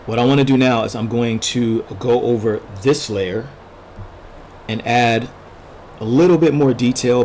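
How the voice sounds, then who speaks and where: A man casually narrating a drawing tutorial as he sketches, thinking aloud in short unhurried fragments, his voice a little worn from talking. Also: lowish voice, darkish tone, a little hiss or hum. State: losing his voice, throat-clearing. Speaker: art tutorial host, illustrator.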